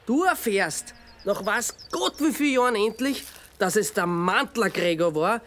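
Pleading, reasoning man, radio drama, high lively voice. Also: a little slow. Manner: reasons and pleads, lays out facts steadily. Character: calm man confronting an avenger, pleading.

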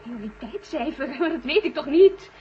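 An actress playing an anxious, determined secretary in a vintage radio drama. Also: female voice, sits high, animated, moderate pace. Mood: frantic, pleading. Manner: urgent, pleading. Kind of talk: 1970s Dutch radio drama acting. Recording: dull, hissy old radio recording.

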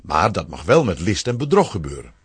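A man narrating a scripted children's audio play as an expressive storyteller. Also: low-pitched, a little fast, husky, no echo.

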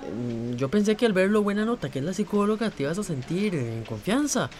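An actor playing a caring, eager young friend in a radio drama, with a Costa Rican accent and an earnest, persuasive manner. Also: male voice, sits high, sing-song, brisk, a little mellow, a little hiss or hum.

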